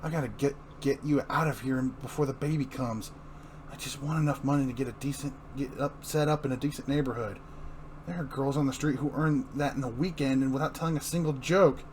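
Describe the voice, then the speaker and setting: A man's voice: medium pitch, brisk, a little mellow, lightly acted. Man reading as struggling husband, bitter, grumbling, anxious, comic-book drama, noisy recording. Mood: bitter despair. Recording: clearly noisy room recording.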